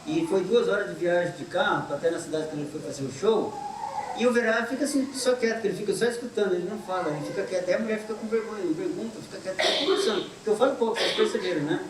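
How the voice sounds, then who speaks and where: A middle-aged man from Brazil, a guest telling funny anecdotes in a lively, joking way. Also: high voice, rapid-fire. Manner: animated, joking storyteller. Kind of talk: casual anecdote. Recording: echoey room from far off, clear noise.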